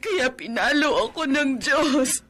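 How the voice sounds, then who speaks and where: A woman's voice: high-pitched, expressive, slow pace, fairly bright tone, heavily acted. An actress playing a middle-aged mother-in-law in a radio drama, tearful and pleading for forgiveness. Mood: remorseful. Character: remorseful bedridden mother-in-law, woman, older.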